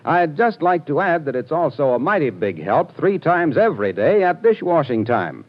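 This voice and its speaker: A man's voice: fairly high pitch, very animated, moderate pace. A male radio announcer with a hearty, salesy announcer delivery, reading a 1940s radio advertisement. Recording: dull 1940s radio broadcast with faint music.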